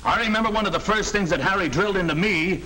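An actor playing an earnest middle-aged American sales manager in a 1940 training film, speaking in a firm, instructive way. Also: male voice, sits high, quick pace, bright, forceful tone. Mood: reflective, earnest. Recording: noisy old 1940 film soundtrack.

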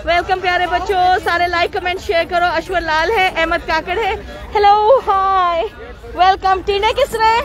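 A woman's voice: very high-pitched, fairly even intonation, moderate pace. A Pakistani woman hosting a casual live market vlog, chatty and playful, switching into English phrases, her voice slightly muffled by a mask. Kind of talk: casual live vlog chat, greeting viewers and haggling. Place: open-air bazaar, outdoors. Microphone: handheld phone. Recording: busy outdoor market crowd behind.

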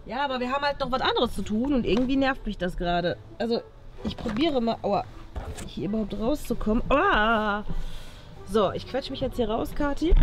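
A young woman chatting casually as a video host, recorded outdoors on a camera. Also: fairly high pitch. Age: young adult. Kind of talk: casual challenge vlog chat. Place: outdoors by an open car boot in a parking lot. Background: background music.

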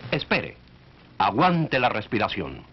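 A man's voice: on the low side, wildly swooping pitch, takes it slowly, a little hiss or hum. A man narrating a 1940s educational film in an instructive way, speaking directly to the listener. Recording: dull, band-limited 1940s film soundtrack.

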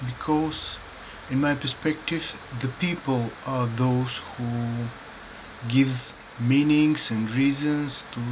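Slow-spoken Bulgarian man, halting and thoughtful. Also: medium pitch. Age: middle-aged. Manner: slow, halting, thoughtful, many pauses and fillers. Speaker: painter and filmmaker. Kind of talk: answering interview questions to camera. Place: dim room indoors at home. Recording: clear room noise behind.

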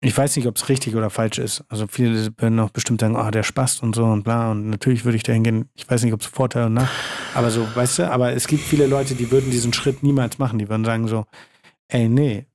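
Creaky-voiced man chatting casually. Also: medium pitch, flat delivery, fast talker, a little mellow, no room sound. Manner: slangy, colloquial. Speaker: interview guest, photographer. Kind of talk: casual chat.

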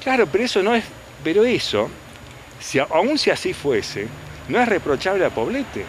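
Older guy lawyer pushing back at reporters outside, pitch jumps around a lot, traffic noise. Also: big pitch swings, moderate pace. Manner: insistent, rhetorical questions. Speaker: defense lawyer. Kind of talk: press interview. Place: outdoors by a detention unit. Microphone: handheld reporter mics. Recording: outdoor street noise.

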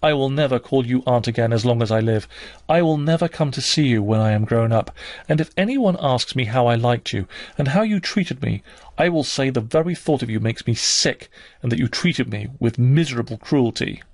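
A man's voice: medium pitch, animated, normal speed, no echo. Man voicing a passionate, defiant young girl. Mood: bitter, accusing. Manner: vehement, accusing, emphatic.

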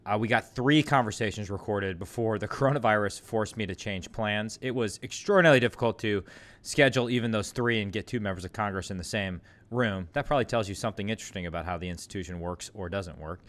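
A man hosting a podcast interview, joking and relaxed, with frequent ums and uhs. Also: lowish voice, fast talker. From Midwest. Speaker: podcast host, congressman. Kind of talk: casual interview.

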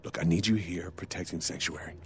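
An actor playing a steady, commanding male resistance leader in a video game, firm and earnest. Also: low voice, fairly quick, long reverb tail, natural voice.

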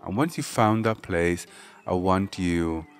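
A man leading a guided meditation, giving gentle, measured spoken instructions. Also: deep voice, faint music behind.

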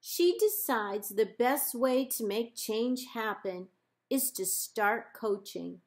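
A woman librarian reading a children's picture book aloud in measured, deliberate phrases with pauses. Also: a little high. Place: indoors, book held up to camera.

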